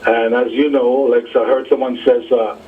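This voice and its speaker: A man's voice: medium pitch, level tone, distant mic, a little hiss or hum. Formal man calling in over a phone line. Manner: measured, formal, emphatic repetition. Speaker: caller to a radio talk show. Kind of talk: call-in commentary. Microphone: telephone. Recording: muffled narrow phone line.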